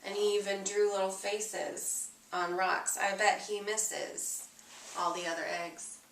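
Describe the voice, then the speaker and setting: Slow, bright woman reading to children, roomy sound. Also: medium pitch, a little hiss or hum. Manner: expressive, addressing young children. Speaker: teacher reading a storybook aloud. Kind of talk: children's read-aloud with casual asides. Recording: echoey room, distant microphone.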